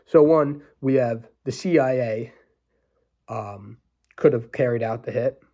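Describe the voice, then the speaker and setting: A congested man getting over the flu, hosting a solo history podcast in an informal, halting, opinionated way, often checking in with the listener. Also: mid-range voice, mellow, dull audio. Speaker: podcast host.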